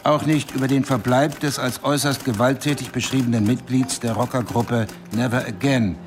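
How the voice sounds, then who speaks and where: An actor playing an officious police inspector in a German radio drama, dictating a report formally, phrase by phrase. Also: male voice, medium pitch, steady tone, moderate pace, over music, lightly acted.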